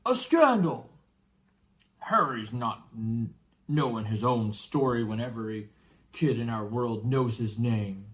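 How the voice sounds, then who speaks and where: A man voicing a big, gruff, excitable but kindly giant with a rustic, blustering way of talking, in a storybook reading. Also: medium pitch, takes it slowly. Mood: outraged. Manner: rustic, blustering exclamations and hesitant asides.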